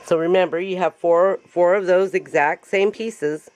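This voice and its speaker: A woman with a raspy voice after an illness, chatting and joking as she demonstrates a paper craft in her home craft room. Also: fairly low pitch, unhurried, a little mellow. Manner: chatty, joking, laughs often. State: recovering from illness. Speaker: craft tutorial host. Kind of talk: casual chat while demonstrating a craft.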